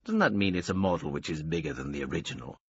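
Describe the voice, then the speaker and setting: A man's voice: sits low, animated, fast, vocal fry, husky, dry recording, highs cut off, pitched below the performer's own voice, full character voice. A man voicing a jaded, skeptical man with a dry delivery.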